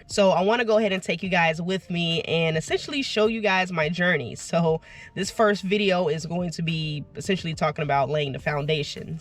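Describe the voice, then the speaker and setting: A woman vlogging casually to her viewers, a bit congested and nasal from getting over an illness, chatty with frequent "you know" and "right?" asides. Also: lowish voice. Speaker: vlogger and delivery driver. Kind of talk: casual vlog talk to camera.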